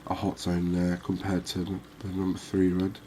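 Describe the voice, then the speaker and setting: A man giving calm, unhurried ASMR-style commentary on a fishing game, explaining things as he plays. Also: low-pitched, slight background noise. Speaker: gaming video host.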